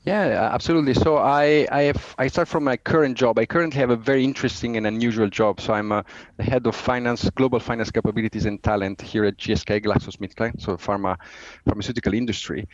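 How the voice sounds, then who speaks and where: A middle-aged man with an Italian accent, a finance executive giving a podcast interview, talking haltingly with many fillers and restarts. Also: mid-range voice, fairly even intonation, no echo, muffled recording. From Italy.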